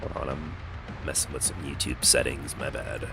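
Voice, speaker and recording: A middle-aged man, hoarse and croaky after being sick, rambling through laid-back live gameplay commentary. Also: very deep voice, fairly even intonation, moderate pace. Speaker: game streamer. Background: game music.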